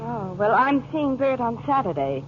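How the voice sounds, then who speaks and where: A woman's voice: on the high side, big pitch swings, moderate pace. An American actress playing a determined, hard-working mother and businesswoman in 1940s radio style. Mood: earnest, firm. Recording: dull, noisy 1940s radio recording.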